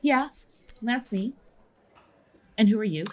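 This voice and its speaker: A woman's voice: a little high, lively intonation, takes it slowly. A woman playing a haughty, bullying young leading actress in an audio drama, with an imperious, sneering delivery. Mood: affronted.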